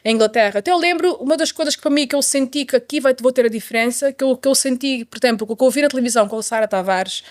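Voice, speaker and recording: A middle-aged woman with a Portuguese accent shares her personal story in a conversational way. Her voice sits fairly high and she talks very quickly. She repeats phrases for emphasis and drops in an English word here and there. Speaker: guest telling her life story.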